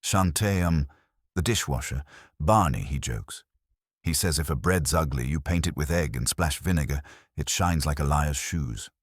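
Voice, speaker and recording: A man's voice: very deep voice, moderate pace, husky, no echo, studio quality. A man voicing a wary, defensive male bakery apprentice with a cautious, hesitant manner in an audiobook mystery.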